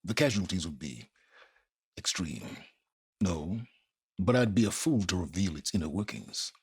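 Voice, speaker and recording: An actor playing an arrogant, secretive older scholar in a video game, gravelly and pompous, with deliberate, condescending formal phrasing. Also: male voice, low voice, expressive, darkish tone, no room sound, studio quality, full character voice. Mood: measured, superior. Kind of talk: video game dramatic acting.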